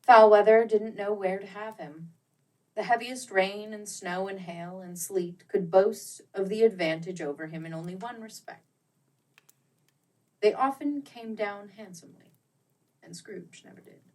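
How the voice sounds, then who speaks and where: A woman narrating a storybook dramatically, with deliberate phrasing that swells and drops to a hush. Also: mid-range voice, far from the mic, rough recording. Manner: theatrical, deliberate phrasing with strong swells and hushes.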